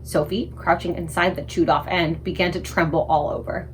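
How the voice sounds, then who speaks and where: A woman narrating a children's storybook aloud in an expressive, scripted read-aloud style. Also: on the low side, fairly quick, slight background noise, low-quality recording.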